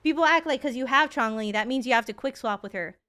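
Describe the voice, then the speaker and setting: A woman's voice: high voice, fast, sharp tone, clear, resonant tone. A woman in her thirties giving casual, playful gaming stream commentary, full of slang and swearing. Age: 30s.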